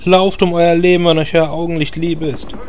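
A man's voice: on the high side, little pitch movement, moderate pace, background noise, lightly acted. A man playing a sarcastic, self-satisfied druid in a German fantasy parody audio drama, dry and wisecracking. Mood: wry.

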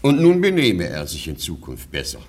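An old nobleman who rules his family with pompous authority. His delivery swings dramatically up and down in pitch, blustering and commanding in the grand manner of a radio comedy. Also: huge pitch swings, normal speed, heavily acted. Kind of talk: theatrical German radio comedy acting.

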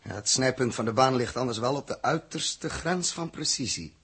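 A Dutch actor playing a sober, sceptical spaceship crewman in a 1960s radio drama, with a slightly husky voice. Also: medium pitch, normal speed, fairly bright tone. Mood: sceptical, tense. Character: sober, sceptical male spaceship crewman facing a risky task.

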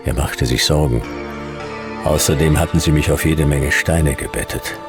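An actor playing a world-weary, sardonic detective, narrating a radio drama in dry, deadpan short sentences. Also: male voice, a bass voice, moderate pace, music in the background.

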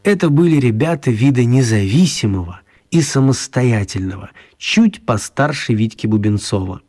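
A man narrating a children's story for radio in an expressive storytelling style. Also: mid-range voice, normal speed.

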